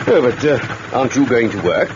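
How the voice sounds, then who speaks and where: An actor playing a courteous, gently probing gentleman friend, polite and persuasive in old radio drama style. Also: medium pitch, expressive, brisk, lightly acted. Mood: genial. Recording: dull, noisy old radio recording.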